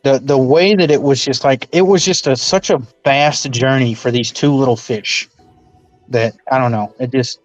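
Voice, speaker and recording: A man in his twenties, co-hosting a podcast in casual chat, rambling with many pauses. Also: mid-range voice, fairly even intonation, dry recording. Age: 20s. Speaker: podcast co-host. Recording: dull dry lo-fi recording with faint music.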